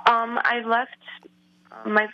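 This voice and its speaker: A woman in her thirties from Wisconsin, calling in to a radio show over the phone, hesitant with many pauses and ums. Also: fairly high pitch, completely flat delivery. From Wisconsin, United States. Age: 30s. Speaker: caller. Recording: lo-fi phone line.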